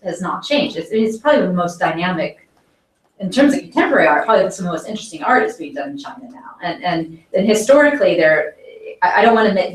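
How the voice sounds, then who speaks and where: A woman teaching an art history class, leading a discussion and thinking aloud with frequent restarts. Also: on the low side. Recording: echoey classroom, distant mic.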